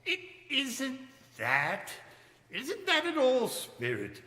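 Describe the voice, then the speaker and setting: A man plays an old man deeply stirred by his past in a live radio play. The voice is forceful and slow, swinging widely with halting exclamations. It rings through a reverberant hall. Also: wildly swooping pitch, heavily acted. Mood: earnest. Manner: halting, exclamatory, drawn-out delivery. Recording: echoey hall, long reverb, live stage.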